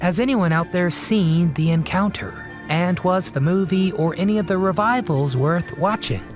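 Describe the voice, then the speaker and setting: Brisk narrator over background music. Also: medium pitch. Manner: brisk, even, informative delivery. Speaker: video narrator. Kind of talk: scripted documentary narration.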